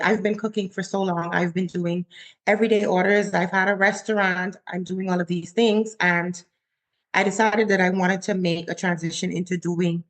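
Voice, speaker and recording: A woman in her forties from the Bahamas, a chef telling her story in a casual interview and acting out her own quotes. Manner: animated, acts out her own quotes with rising pitch. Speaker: chef, guest.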